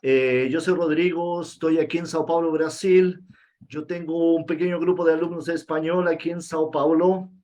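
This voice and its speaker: Guy hosting a video call, kinda high voice, loose and chatty with lots of restarts. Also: steady tone, moderate pace, a little mellow. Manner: informal, restarts phrases, stretches fillers. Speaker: host and Spanish teacher. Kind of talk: casual video-call meeting.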